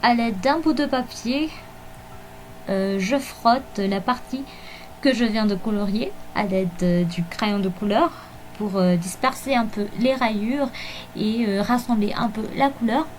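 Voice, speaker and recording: A woman whose first language is Malagasy, narrating a journal planning video in a hesitant way with many fillers and soft laughs. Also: on the high side, measured pace, slight background noise. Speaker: bullet journal vlogger. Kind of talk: voice-over narration of a plan with me. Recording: faint music behind voice-over.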